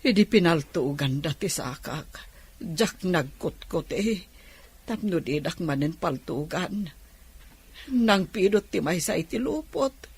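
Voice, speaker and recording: A husky middle-aged auntie played by a woman in an Ilocano radio drama, wronged and plaintive. She speaks slowly, stretching out her exclamations as she laments. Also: fairly low pitch, animated, full character voice. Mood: indignant, worried. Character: aggrieved injured older woman, robbery victim, plaintive.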